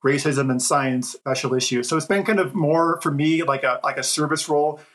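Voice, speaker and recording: A man's voice: a little high, normal speed, fairly bright tone. A man speaking as a guest editor on a podcast, talking in a thoughtful, loose, conversational way. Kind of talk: casual discussion.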